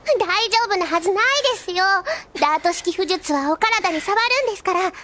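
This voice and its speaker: A woman's voice: extremely high pitch, brisk, a bit bright, slight background noise. A woman acting a cute, spirited young girl attendant in an anime audio drama. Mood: concerned. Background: faint background music.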